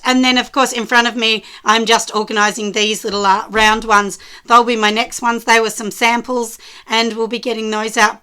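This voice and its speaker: A woman who makes soap, chatting with viewers as she packs orders in her small studio, husky and hoarse from a cold. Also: fairly high pitch, bright, forceful tone. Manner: chatty, talks straight to the viewers. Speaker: soap maker running a small business. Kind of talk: casual chat while packing orders. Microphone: camera set low on a surface.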